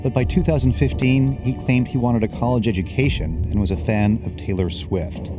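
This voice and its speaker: A man's voice: mid-range voice, moderate pace. A man working as a reporter, reading scripted narration for a documentary. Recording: music under the voice. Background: background music.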